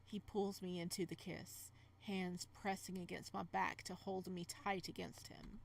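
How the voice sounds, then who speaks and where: A woman's voice: fairly low pitch, level tone, measured pace, gravelly, a little hiss or hum. A woman reading as the flustered young male protagonist of a romance visual novel, in dramatic first-person narration. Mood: hushed, romantic.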